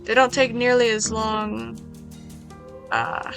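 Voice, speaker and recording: A woman with a slightly hoarse voice giving casual, chatty commentary while she plays a survival game, making wry asides to herself. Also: fairly high pitch, little pitch movement, slow pace, music in the background. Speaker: gaming video creator.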